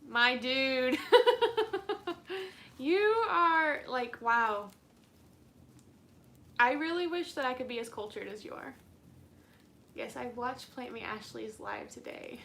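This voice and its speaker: A young woman with a slightly high voice chats on a home live stream. She rambles in a bubbly, giggly way, filling her talk with "like". A faint background hiss sits under her voice. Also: animated, slow pace, gravelly, long pauses, sharp tone. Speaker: plant channel host. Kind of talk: casual live stream chat.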